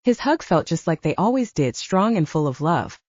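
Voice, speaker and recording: Low, mellow woman narrating romantic fiction. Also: big pitch swings, normal speed, breathy edge, no echo, lo-fi sound. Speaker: audiobook narrator.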